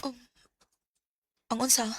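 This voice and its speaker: A woman's voice: takes it slowly, fairly bright tone, no echo. A woman playing an anguished, guilt-torn young woman in a Bisaya radio drama, with a Cebuano Filipino accent and emotional, sighing delivery. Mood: exasperated. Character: Mina, anguished young woman secretly loving best friend's boyfriend.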